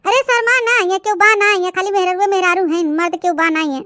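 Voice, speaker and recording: Very squeaky, bossy village mother-in-law. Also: fairly even intonation, fast, sharp tone, no echo. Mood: proud, coaxing. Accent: eastern Uttar Pradesh. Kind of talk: comic cartoon story dialogue in rustic dialect.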